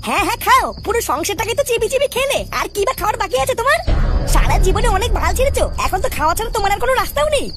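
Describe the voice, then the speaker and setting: Bengali cartoon grandmother, very fast and wildly high, animated nagging and ranting. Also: music in the background. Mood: furious, bitter. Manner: scolding, sarcastic, ranting. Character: furious, nagging old Bengali grandmother, bitter wife. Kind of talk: Bengali comedy cartoon dialogue.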